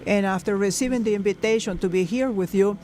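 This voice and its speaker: A man interpreting a conference panel into English live, halting, with many fillers and self-corrections. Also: high-pitched, a little mellow, a little hiss or hum. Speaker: simultaneous interpreter.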